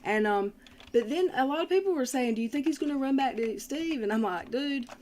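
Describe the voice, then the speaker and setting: A woman's voice: high voice, animated, brisk, darkish tone. A woman hosting a casual livestream panel chat, talking informally with exclamations and folksy slang. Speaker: livestream host.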